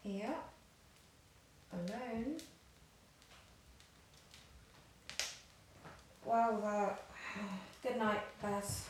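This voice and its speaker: An actress playing a guarded, uneasy woman in an audio drama, speaking in a wary, halting way. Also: medium pitch, steady tone. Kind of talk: naturalistic audio drama dialogue. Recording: echoey, distant, noisy room recording.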